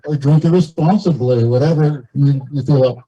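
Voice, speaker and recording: A man making informal remarks and questions at a community meeting, speaking haltingly and repeating words. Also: mellow. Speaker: cabin owner speaking from the floor at a meeting. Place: community meeting, captured on a video call. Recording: muffled low-fidelity meeting audio over video call.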